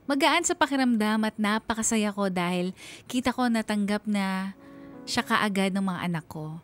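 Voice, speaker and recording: A woman's voice: mid-range voice, expressive, normal speed, close to an everyday voice. A woman playing an earnest, anxious mother who narrates her own story in a confiding way for a radio drama. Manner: heartfelt, confiding. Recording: music bed behind the voice.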